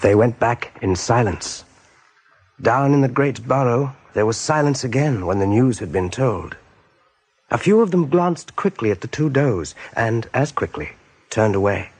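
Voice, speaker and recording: An actor narrating an animal adventure for a radio play in a measured, suspenseful storytelling manner. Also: male voice, medium pitch, animated, a little mellow, slightly rough. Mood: grave.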